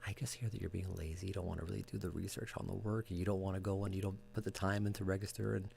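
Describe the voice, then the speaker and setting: Male radio co-host, very deep and gravelly, informal chat, faint noise and hum behind him. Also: little pitch movement, rapid-fire, darkish tone. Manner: informal, chatty. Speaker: radio talk show co-host. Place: radio studio.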